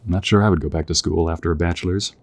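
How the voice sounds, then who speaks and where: Man acting a deep, husky young soldier, affectionate. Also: steady tone, racing. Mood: warm, reminiscing. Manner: gentle, affectionate, hesitant, chuckling conversational delivery. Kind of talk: intimate audio roleplay acting.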